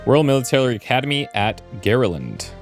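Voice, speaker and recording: A man's voice: mid-range voice, sing-song, fairly quick, faint music behind. A man giving casual commentary as he plays a video game. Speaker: host of a gameplay series.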